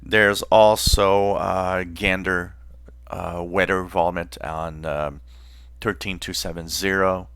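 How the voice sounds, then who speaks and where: A man hosting a hobby channel, explaining informally in a halting way with pauses mid-phrase. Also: low-pitched, fairly even intonation, fairly bright tone, clear, resonant tone, no echo. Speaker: host of a shortwave radio channel.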